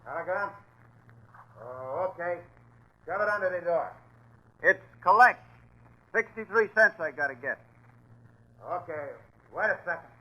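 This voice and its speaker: A man's voice: high-pitched, animated, unhurried, long pauses, lo-fi sound. An actor playing a defiant, surly gangster with slangy, defensive tough-guy talk in 1940s radio drama style. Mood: wary.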